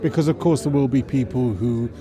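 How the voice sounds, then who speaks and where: A man's voice: mid-range voice, fairly quick. Man explaining, busy crowd behind. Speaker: pantomime director, interview guest. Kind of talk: explanatory interview answer. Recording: busy crowd and urban background noise. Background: crowd chatter.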